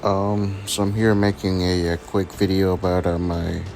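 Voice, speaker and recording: A man with a raspy voice from being sick, hesitantly walking through his game account in a casual screen-recording chat. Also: low voice, monotone, moderate pace, darkish tone, slight background noise. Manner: hesitant, many ums and restarts. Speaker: gaming channel host.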